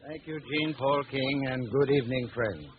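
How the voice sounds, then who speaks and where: A man's voice: mid-range voice, normal speed. A man giving a scripted 1940s radio advertising talk as a sponsor's expert, in a folksy, conversational manner. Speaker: sponsor's home heating expert. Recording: muffled old radio, faint noise.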